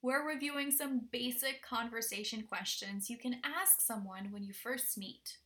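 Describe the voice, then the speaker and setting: Upbeat lady hosting a language podcast, kinda high bright voice, peppy intro energy. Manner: upbeat and energetic in the intro, slow and deliberate when modelling phrases. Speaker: podcast host and Polish teacher.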